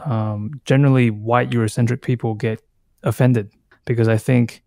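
A man in his thirties from Taiwan, whose first language is Taiwanese, speaks as a guest in a reflective conversation. His mid-pitched voice is recorded dry, with very little room sound. He thinks as he goes, halting and reworking his phrases. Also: fairly even intonation, creaky, a little mellow, no echo. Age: 30s. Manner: halting, thoughtful, circles back to rephrase. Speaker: podcast guest, artist.

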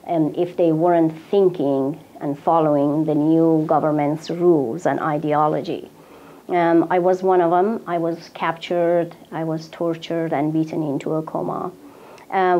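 Brief: earnest woman in her fifties from Iran, lowish voice, halting, lapel mic with a dull, slightly lo-fi sound. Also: dark tone. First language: Persian. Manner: earnest, halting, with many ums and uhs. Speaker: author. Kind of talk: personal talk to a small audience. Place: small office waiting room.

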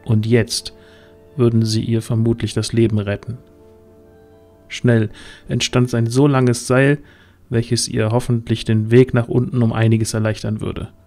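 A man narrates a fantasy audio drama. His voice is mellow and dark, at a middling pitch, reading scripted storytelling over faint music. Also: little pitch movement, measured pace. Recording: music under the voice.

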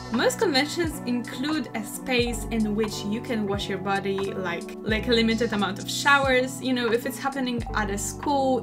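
A young woman in her twenties with a Polish accent, giving chatty, animated advice in a casual vlog from her bedroom. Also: on the high side. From Poland. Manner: chatty, animated, gestures a lot while talking. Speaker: cosplay vlogger. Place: bedroom at home. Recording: background music under the voice.

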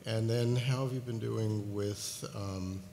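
A man with a fairly low voice asks a direct question from a floor microphone in a large conference hall. The room is echoey with a long reverb and a clear hum underneath. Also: steady tone, slow pace, dark tone. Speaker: audience member asking a question.

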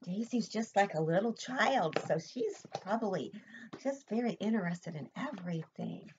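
A woman's voice: mid-range voice, lively intonation, normal speed, muffled recording, background noise. An older woman, a preschool teacher, reading a picture book expressively to young children and asking simple questions, recorded indoors at home. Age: older, 60s or above.

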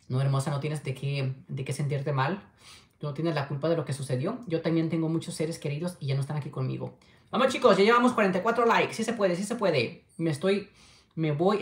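A man, chatting affectionately on a livestream and reading viewer comments. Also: sits high. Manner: affectionate, chatty, keeps urging likes. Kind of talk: casual livestream chat. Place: small home room with window. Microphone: webcam at desk distance. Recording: echoey room.

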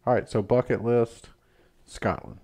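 A man's voice: mid-range voice, a little slow, mellow. A man hosting a casual podcast chat in an easygoing, teasing way. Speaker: podcast host. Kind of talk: casual chat about golf.

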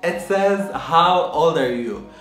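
A young man from Lebanon chats straight to the camera in a lively, upbeat way, with plenty of filler words. His voice sits a little high for a man. The bedroom sounds echoey, and faint music plays underneath. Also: slow pace. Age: 20s. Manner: lively, chatty, many "like" fillers. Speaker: vlogger. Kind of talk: casual chat to camera. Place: bedroom, indoors. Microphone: camera in front, medium close.

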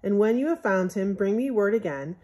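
A woman reading a Bible story aloud from a picture book in measured, scriptural phrasing, as a Sunday school storyteller. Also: medium pitch, animated, warm tone.

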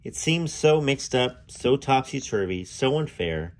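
Guy reading a memoir out loud, real expressive n dramatic, pitch goes up and down, casual asides. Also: a little slow. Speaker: host reading a memoir aloud. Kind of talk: expressive read-aloud storytelling with casual asides.

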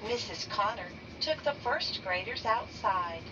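Slow, lively woman reading a picture book. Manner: slow, deliberate reading with raised pitch for quoted lines. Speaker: read-aloud narrator. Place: bedroom, indoors. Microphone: phone camera held over the book. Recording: dull lo-fi sound from a distance with noise.

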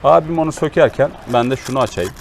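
A man's voice: mid-range voice, quick pace. A man hosting a casual hands-on gear demonstration, explaining and instructing as he chats. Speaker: vlog host showing motorcycle gear. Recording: clear ambient noise behind voice.

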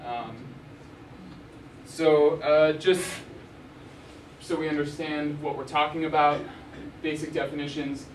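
A young man gives a relaxed talk in a university hall, filling gaps with ums and restarting sentences. His voice is slightly high. It is picked up from far off with plenty of room echo and steady background noise. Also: takes it slowly, pauses often. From Arizona, USA. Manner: casual, many ums and restarts. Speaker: journalist giving a talk. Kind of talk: lecture with slides. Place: university lecture hall, indoors. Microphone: room mic at a distance. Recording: echoey hall, mic far off, noisy.

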